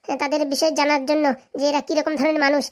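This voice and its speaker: A woman's voice: high-pitched, level tone, very fast, close to an everyday voice. A woman voicing an earnest, eager visitor out for revenge in a comedy cartoon, speaking colloquially and deferentially. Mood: earnest, confiding. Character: earnest, vengeful visitor seeking evidence.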